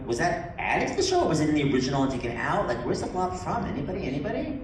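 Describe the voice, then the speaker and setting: A man hosting an onstage Q&A with a theater cast, teasing and animated with quick asides. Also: on the high side. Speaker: talk show host interviewing a cast. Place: theater stage before a live audience. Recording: echoey hall from far off, audience noise.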